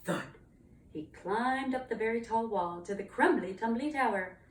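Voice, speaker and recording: A woman's voice: fairly high pitch, moderate pace. A woman narrating a children's storybook with dramatic, emphatic storytelling. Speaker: storybook reader, cantor. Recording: roomy, distant mic, faint noise.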